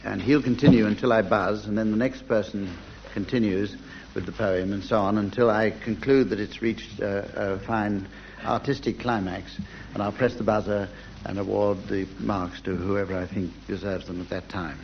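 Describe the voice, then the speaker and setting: A man's voice: lowish voice, fairly even intonation, normal speed, gravelly. A man chairing an unscripted comedy panel game in front of a studio audience. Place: live show before a studio audience. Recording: dull noisy old radio recording.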